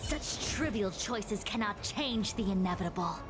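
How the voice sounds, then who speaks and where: Actress as imperious, fatalistic possessed seer over loud music. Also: female voice, medium pitch, expressive, moderate pace, lightly acted. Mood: imperious lecturing. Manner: declamatory, condescending. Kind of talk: dramatic video game voice acting.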